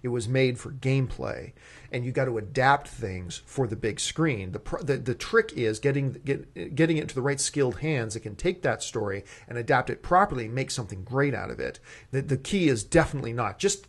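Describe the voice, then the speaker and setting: A man's voice: mid-range voice, lively intonation, a little fast, husky. A man hosting a movie talk show, giving opinions in casual, emphatic speech and repeating words for stress.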